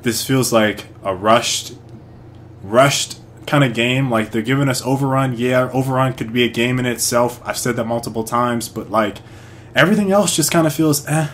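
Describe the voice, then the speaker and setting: A man's voice: medium pitch, moderate pace, fairly bright tone, a little hiss or hum. A man hosting a casual online voice chat, talking hesitantly with fillers about a game. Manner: hesitant, many fillers and restarts. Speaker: stream host. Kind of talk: casual group chat over voice call.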